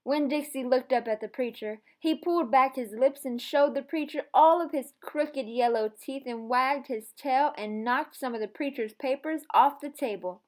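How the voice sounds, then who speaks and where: A woman with a fairly high voice speaks as a schoolteacher to students. Her delivery is expressive and encouraging, suited to storybook reading and simple lessons. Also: moderate pace, hardly any pauses. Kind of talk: storybook read-aloud and lesson to camera.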